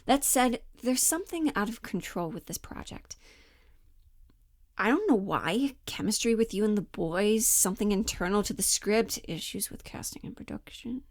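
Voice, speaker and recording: A woman's voice: mid-range voice, lively intonation, normal speed, no room sound, studio quality. A woman playing a pushy, falsely upbeat Hollywood talent agent in a comedic monologue, coaxing, flippant and over-reassuring. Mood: brisk, coaxing. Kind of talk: dramatic audition monologue, comedic.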